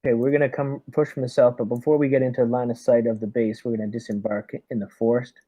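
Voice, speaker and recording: A man playing a tabletop roleplaying game as squad leader, giving deliberate, military-style orders. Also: medium pitch, level tone, mellow, no room sound. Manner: deliberate, military briefing phrasing. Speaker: tabletop RPG player leading the squad as lieutenant.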